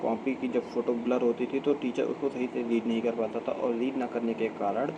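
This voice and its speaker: An Indian man giving an informal explainer as a video host, halting and repeating himself as he corrects his phrasing. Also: medium pitch, dull audio. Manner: halting, repetitive phrasing with frequent self-corrections. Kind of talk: informal explainer talk to camera. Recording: clear steady background hum and ambient noise.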